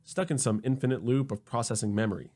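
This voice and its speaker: A man narrating a scripted comedic sci-fi story in an expressive, wry manner. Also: medium pitch, fast talker.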